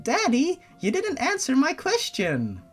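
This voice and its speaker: Small girl, played by an adult narrator: very high, childlike, innocent and pleading, for a story reading over music. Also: wildly swooping pitch, unhurried, heavily acted. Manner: childlike, squealing, loud.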